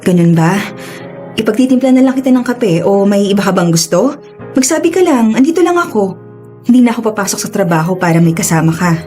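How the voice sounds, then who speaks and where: A woman's voice: mid-range voice, animated, a little fast, mellow, faint music behind, close to an everyday voice. An actress playing a protective, caring older sister, a married working woman, in a radio drama. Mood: soothing.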